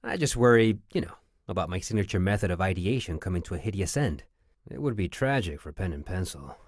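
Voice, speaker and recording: An actor playing an indignant, self-important, anxious advertising creative in an audio comedy, talking in a pompous, wordy way. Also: male voice, sits low, expressive, brisk, no room sound, studio quality. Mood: indignant, worried.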